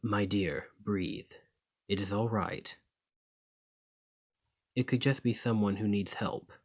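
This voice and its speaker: Low, husky man acting a courteous vampire. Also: slow pace. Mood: soft, reassuring. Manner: gentle, formal, old-fashioned phrasing. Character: desperate, courteous, protective male vampire of the 1820s. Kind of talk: ASMR roleplay acting.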